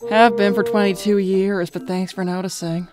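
A woman's voice: mid-range voice, little pitch movement, normal speed, lightly acted. Sultry, teasing bat lady played by a woman. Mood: flirty, teasing. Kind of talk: comic dub voice acting. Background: music.

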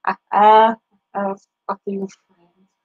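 A woman's voice: mid-range voice, little pitch movement, slow, dry recording. Brash, foul-mouthed Boston woman streamer. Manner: brash, profane trash talk. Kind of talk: live stream chat reply. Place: small bedroom. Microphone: headset mic. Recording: dull lo-fi stream audio.

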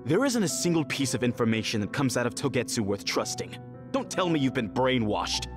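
An actor voicing a stern, fanatical elder brother in a video game, commanding and condescending in dramatic delivery. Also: male voice, medium pitch, very animated, brisk, lightly acted. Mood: cold, irritated. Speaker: villain. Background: dramatic background music.

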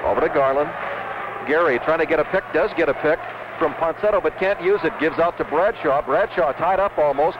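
A man calling live basketball play-by-play for radio in clipped, urgent phrases. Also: sits high. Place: basketball arena. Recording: muffled, noisy old radio broadcast.

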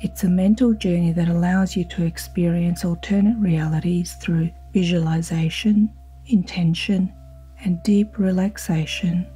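Low, mellow woman guiding sleep hypnosis. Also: fairly even intonation, low-quality recording. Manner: slow, soothing, soft-spoken with breathy phrase endings. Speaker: hypnosis guide. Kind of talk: guided sleep hypnosis narration. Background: ambient music with singing bowl tones.

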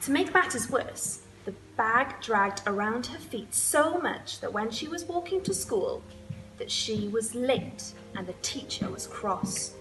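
A young woman reads a children's story aloud with expression. Her pace is slow and clear in its phrasing, her pitch a little high, and faint music plays behind her. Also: expressive, fairly bright tone, poor audio. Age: young adult. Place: indoors, room with wood-panelled wall and sofa.

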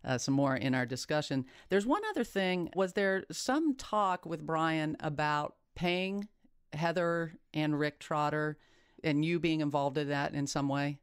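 A man conducting an investigative interview in a smooth, resonant voice. His pitch sits a little high for a man, and he presses his points with firm emphasis. The sound is dry and close. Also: a little slow, no echo. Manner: probing, emphatic. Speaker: podcast interviewer.

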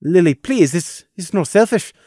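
A man's voice: high voice, lively intonation, normal speed, dark tone, husky, dry recording, clean studio sound, pitched above the performer's own voice. A man voicing a young stallion, an anxious brother who stammers and pleads for forgiveness. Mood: pleading.

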